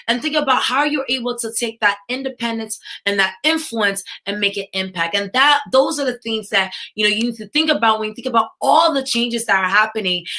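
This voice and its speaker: A woman with a New York accent giving an energetic keynote to an online conference audience and urging viewers to comment in the chat. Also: fairly high pitch. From United States. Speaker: keynote speaker.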